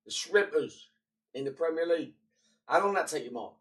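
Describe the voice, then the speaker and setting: A man's voice: a little high, lively intonation, moderate pace, fairly bright tone, recorded from a distance. A young man with a blocked-up, fading voice, chatting casually as he streams a football management game and mutters between bursts. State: congested, voice partly gone. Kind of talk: casual gaming commentary.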